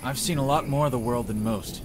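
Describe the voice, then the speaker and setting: A man's voice: medium pitch, brisk, slight background noise, natural voice. A man playing a dry, matter-of-fact, well-travelled village inventor in a visual novel. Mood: proud, musing. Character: dry, worldly village man, self-taught showman inventor.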